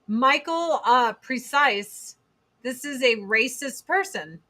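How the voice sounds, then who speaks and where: A woman in her forties with a deep voice, chatting bluntly and profanely on a casual livestream while doing her makeup. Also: sharp tone. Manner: blunt, profane, sarcastic, reads chat comments aloud. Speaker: livestream host.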